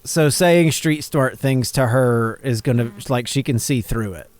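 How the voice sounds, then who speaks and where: A man's voice: medium pitch, a little slow. A man co-hosting a gaming video with casual, informal banter. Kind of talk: casual banter over a game.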